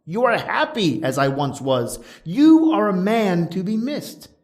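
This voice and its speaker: A man voicing a cunning, vengeful gentleman who feigns solicitous concern in a gothic horror story. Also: high voice, very animated, a little slow, voice pushed up, full character voice. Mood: falsely concerned.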